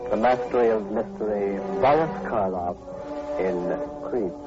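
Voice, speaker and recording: A man's voice: on the low side, measured pace. A man giving formal, dramatic scripted narration as the announcer of a 1940s radio drama. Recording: dull vintage radio recording with music.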